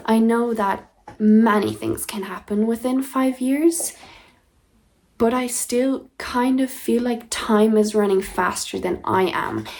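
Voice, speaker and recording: Reflective young woman rambling softly. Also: medium pitch, a little hiss or hum. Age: 20s. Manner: reflective, rambling with many fillers and restarts. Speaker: diary vlogger. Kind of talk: casual personal diary talk.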